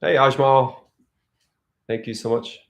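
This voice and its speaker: A man's voice: mid-range voice, fairly even intonation, a little slow, creaky. A man hosting a casual livestream, chatting loosely and reading comments aloud. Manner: loose, chuckling, reads chat aloud. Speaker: livestream host.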